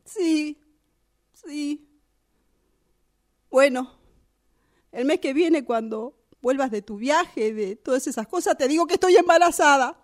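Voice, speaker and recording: A woman acts out a wounded, resentful Argentine girlfriend in a radio play phone call. Her voice is high and her delivery slow, in a Rioplatense accent. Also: lively intonation. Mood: sad, resigned. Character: hurt, resentful, pregnant woman on phone with unfaithful partner. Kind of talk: radio drama phone monologue.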